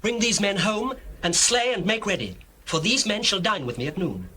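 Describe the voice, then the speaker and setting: A man performs a resolute biblical man in a scripture drama. The voice is highish and forceful, with animated rises and falls. Phrasing is formal and earnest, and there is some ambient noise behind it. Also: moderate pace, exaggerated voice. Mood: measured, commanding.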